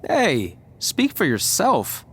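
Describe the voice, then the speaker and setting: An actor playing a wry, stubborn young wrestler in a video game, talking conversationally with dry sarcasm. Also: male voice, high voice, huge pitch swings, slow pace, a bit bright, slightly rough, full character voice. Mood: wry, casual. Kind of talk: video game cutscene acting.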